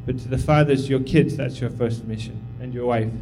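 A man's voice: medium pitch, fairly even intonation, a little fast, vocal fry, music in the background, low-quality recording. A man in his thirties who grew up in Tanzania, giving an informal, humble sermon as a visiting missionary, encouraging and full of fillers. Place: church sanctuary.

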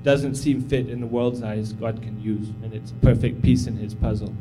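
Casual guest preacher, a man raised in Tanzania, mid-pitched, soft music under him. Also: steady tone, normal speed, rough recording. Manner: humble, casual, encouraging, with many fillers. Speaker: visiting missionary speaker. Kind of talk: informal church sermon. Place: church sanctuary.

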